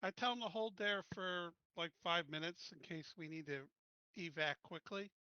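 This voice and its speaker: A man's voice: high-pitched, bright, forceful tone, clear, resonant tone, dry recording, highs cut off. A man playing in a tabletop roleplaying game, asking the game master practical questions in casual chat. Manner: questioning, quick replies. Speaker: player.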